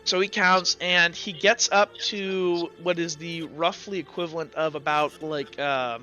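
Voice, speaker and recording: A man running a tabletop game as game master, improvising descriptions haltingly and repeating words as he searches for them. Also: a little high, fairly even intonation, bright, forceful tone, lo-fi sound. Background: music.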